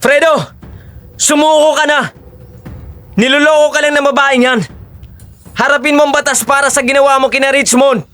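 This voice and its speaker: A man's voice: very high voice, little pitch movement, normal speed, long pauses, bright, forceful tone. A man playing a righteous, commanding horseman who taunts the fugitive he pursues in an audio drama. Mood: stern, urging.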